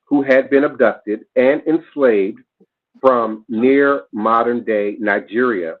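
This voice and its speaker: Male host reading the news, mid-pitched voice, spells out names and corrects himself, narrow phone-quality sound. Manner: careful, spells out names letter by letter; pauses and corrects his own pronunciation. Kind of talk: reading news reports aloud.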